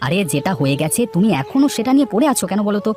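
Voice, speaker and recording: A man acts an excitable village neighbor in a moral-story cartoon. He talks quickly and pushily, his pitch jumping about. Music plays underneath. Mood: excited, teasing.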